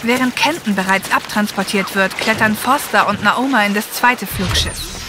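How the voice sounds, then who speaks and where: A woman's voice: mid-range voice, normal speed, music in the background. A woman reading scripted story narration as the narrator of an audio drama. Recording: clear helicopter and effects noise behind. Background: ambience, helicopter, vehicles.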